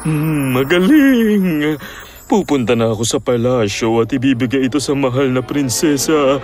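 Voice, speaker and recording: Cartoon storybook role: male actor as haughty wealthy suitor, demanding, medium pitch, faint music behind. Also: expressive, normal speed, a little mellow, close to an everyday voice. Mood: pleased. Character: arrogant rich merchant suitor, demanding and threatening. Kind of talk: animated fairy tale dub acting.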